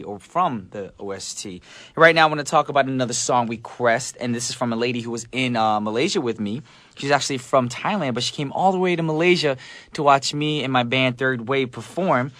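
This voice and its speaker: A man's voice: medium pitch, a bit bright, no room sound. A man hosting a live radio show in a chatty, casual style with playful asides, close to a studio microphone.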